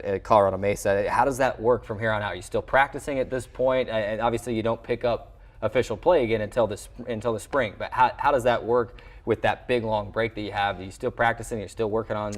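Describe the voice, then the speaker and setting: Male sports host, somewhat low voice, conversational broadcast interviewing. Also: steady tone, quick pace. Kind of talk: sports talk show interview.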